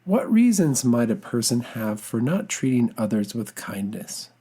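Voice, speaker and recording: A storyteller giving an expressive, scripted reading of a children's folktale with dramatic, deliberate phrasing. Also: mid-range voice, husky.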